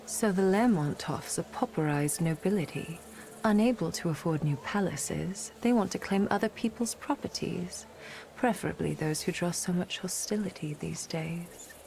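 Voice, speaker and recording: A shrewd female conspirator in a video game. Her voice is on the low side, wry and confident. Clear ambient noise runs behind it. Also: normal speed.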